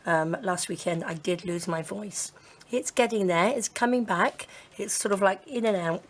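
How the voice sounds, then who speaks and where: A woman with a croaky, hoarse throat hosting a casual crafting tutorial, chatty and gushing with frequent fillers. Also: mid-range voice, moderate pace. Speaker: crafting channel host.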